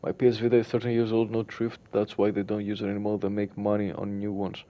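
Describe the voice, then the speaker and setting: A man's voice: on the low side, fast talker, muffled recording. A man from Greece with a Greek accent, chatting casually with his livestream viewers while he plays. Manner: relaxed, hesitant, with fillers and soft laughs. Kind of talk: casual livestream chat reading viewer messages.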